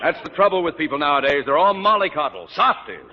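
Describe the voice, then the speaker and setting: An actor playing a pompous, irascible middle-aged school principal who scolds in formal, blustering speeches in a vintage radio sitcom. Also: male voice, lively intonation, fast. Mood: pompous, indignant. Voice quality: blustering, booming delivery. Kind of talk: 1950s radio sitcom acting. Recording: muffled old radio recording, noisy, reverberant.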